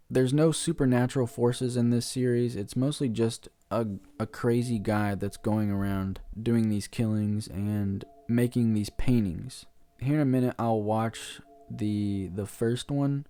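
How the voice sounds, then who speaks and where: Male online reaction host, relaxed dark-toned voice, medium pitch, informal explainer delivery. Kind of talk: casual talk to viewers, explaining and reviewing.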